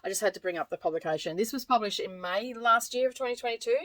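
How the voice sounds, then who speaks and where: A woman's voice: mid-range voice, quick pace, fairly bright tone. A woman with an Australian accent reviewing books in an informal, rambling way, often correcting herself as she goes. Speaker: book reviewer, vlogger. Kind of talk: casual chat to camera, book review.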